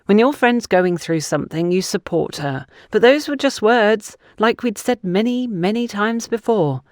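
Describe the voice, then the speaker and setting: A woman's voice: mid-range voice, animated, unhurried, darkish tone, no echo, voice pushed up, lightly acted. Audiobook role: defensive young woman, performed by a female narrator, pleading her innocence in a dry, close recording. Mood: defensive, insistent. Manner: insistent, self-justifying. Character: defensive young woman excusing herself to accusers. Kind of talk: audiobook character dialogue.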